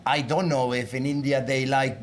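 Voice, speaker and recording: A man from Spain with a Spanish accent, speaking on a panel in a casual, animated way. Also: medium pitch, moderate pace, slight background noise. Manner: animated, acts out quoted voices.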